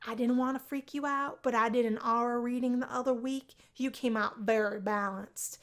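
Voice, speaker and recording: A woman's voice: a little high, fairly even intonation, normal speed, fairly bright tone. A woman voicing a quirky, cheerful young female roommate in a visual novel, talking in a bubbly, playful way. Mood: confiding.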